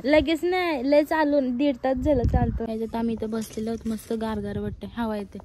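A woman's voice: a little high, fairly even intonation, brisk. A young woman from Maharashtra, India, vlogging casually on a phone outdoors, chatty and mixing in English words. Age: young adult. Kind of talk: casual vlog narration to camera, chatting with friends. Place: outdoors on hillside trails and farm grounds. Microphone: phone at arm's length. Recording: noisy outdoor phone recording.

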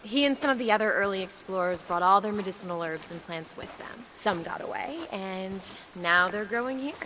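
A woman's voice: mid-range voice, lively intonation, a little fast, bright, forceful tone, a little hiss or hum, rough recording. An American actress playing a playful, teasing young woman scientist in a video game, precise when she explains. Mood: earnest. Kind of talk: naturalistic cinematic game acting.

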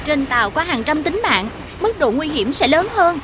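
A woman playing a gentle, patient schoolteacher who explains things in a children's cartoon, with a Southern Vietnamese accent. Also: high-pitched, big pitch swings, normal speed, noisy background. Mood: patient explaining. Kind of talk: animated children's cartoon dubbing. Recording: music behind the voice.

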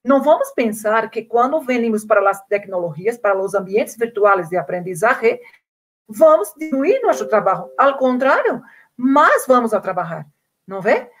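Brazilian-accented woman, slightly high voice. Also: very animated, moderate pace. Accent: Brazilian Portuguese. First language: Portuguese. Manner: earnest, repeats words while searching for Spanish. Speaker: invited speaker. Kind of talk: online conference talk, answering questions. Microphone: video call.

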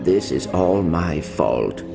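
Small game robot sidekick: formal, earnest, precise, with music behind. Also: male voice, sits low, lively intonation, vocal fry, exaggerated voice. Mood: gentle, worried. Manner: formal, precise, measured diction.

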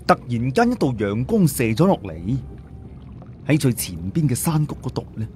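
A man's voice: mid-range voice, a little mellow, a little hiss or hum. A man narrating a story in a dramatic audiobook style.

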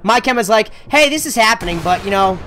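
A man's voice: high-pitched, lively intonation, fast talker, bright, forceful tone, faint music behind. Rambling young man chatting over gameplay. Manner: rambling, swears freely, many fillers. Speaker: let's play co-host. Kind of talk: casual chat over gameplay.

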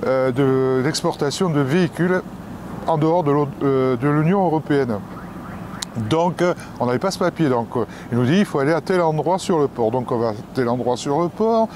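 A man's voice: on the high side, moderate pace, darkish tone. A man telling a travel story in a casual vlog, rambling with fillers and restarts. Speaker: travel vlogger. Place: outdoors and aboard a ferry. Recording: noisy outdoor recording.

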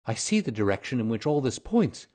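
A man voicing an earnest, admiring gentleman companion who speaks formally and emphatically in an audiobook story. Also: mid-range voice, very animated, a little fast. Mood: admiring, excited.

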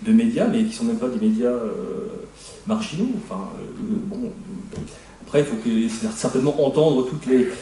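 A middle-aged man, a historian, speaking on a debate panel in a halting way, with many fillers and restarts. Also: medium pitch, expressive, dark tone, slight background noise. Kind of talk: spontaneous panel talk. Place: conference room, seated at a panel table. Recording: echoey room, mic far off.

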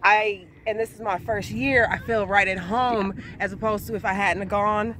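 A woman's voice: mid-range voice, steady tone, normal speed, a bit bright. A woman casually explaining her van setup as its owner, recorded outdoors on a handheld camera. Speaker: van dweller showing her van. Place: outdoors in open desert, in and beside a van. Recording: outdoor camera audio with crowd noise.

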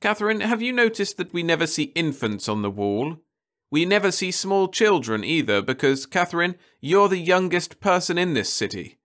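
A man's voice: sits high, sing-song, normal speed, fairly bright tone, pitched above the performer's own voice. A man doing a stern, insistent mother. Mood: urgent. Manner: firm, insistent. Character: stern, earnest middle-aged mother.

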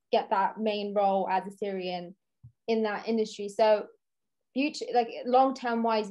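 A young woman asks a guest questions over a webcam from a room. Her voice sits at a middle pitch, and she talks in a hesitant, casual way with many fillers. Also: darkish tone. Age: young adult. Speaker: interviewer. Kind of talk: casual video-call interview. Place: room indoors. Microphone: webcam on a video call.

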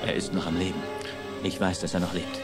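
A man's voice: sits low, little pitch movement, fairly quick, loud music behind the voice, lightly acted. A man acting a worried, earnest father determined to find his son in a German audio drama. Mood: earnest, reassuring.

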